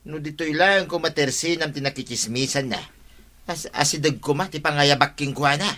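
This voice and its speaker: A man's voice: on the high side, brisk, pushed and bright. A man playing a nosy, griping village resident in a comedy drama. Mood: scheming.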